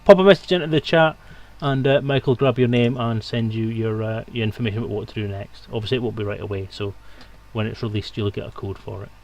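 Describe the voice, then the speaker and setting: A man's voice: lowish voice, fast, hardly any pauses, darkish tone. A man hosting a live stream in casual, bantering, sweary chat. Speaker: stream host.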